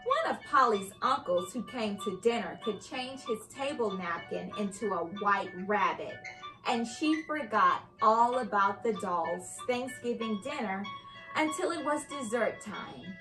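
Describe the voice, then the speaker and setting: A young adult woman reading a children's story aloud with expressive, carefully enunciated storytelling delivery. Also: mid-range voice, measured pace, music in the background, low-quality recording. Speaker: host and reader of children's read-aloud show. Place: indoor living room by a fireplace.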